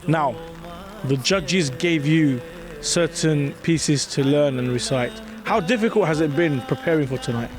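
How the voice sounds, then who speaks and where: A man's voice: on the high side, measured pace, over music. A man hosting a competition show, interviewing a contestant in an upbeat, encouraging way. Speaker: show host.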